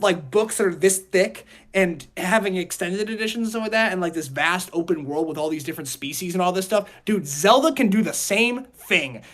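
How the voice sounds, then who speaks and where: Higher-pitched man chatting casually. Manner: rambling, hedging with frequent 'like'. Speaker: podcast co-host. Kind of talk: casual opinionated chat about movies and games.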